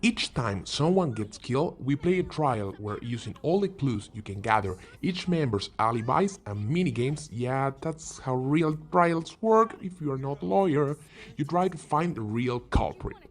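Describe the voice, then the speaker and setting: A man's voice: mid-range voice, lively intonation, moderate pace. A man hosting a scripted video with game recommendations, playful and emphatic on key words, with joking asides. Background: background music.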